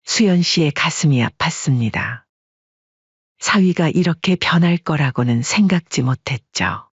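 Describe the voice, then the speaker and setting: A narrator reading a family story in a calm, even storytelling manner, recorded dry and slightly dull. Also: medium pitch, unhurried, husky, dry recording.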